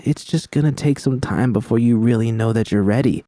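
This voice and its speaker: A man voicing a calm, gentle young woman who soothes her partner in a soft, coaxing way. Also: medium pitch, a little fast, no echo, studio quality, voice pushed up, close to an everyday voice. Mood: reassuring. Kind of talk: fan audiobook reading.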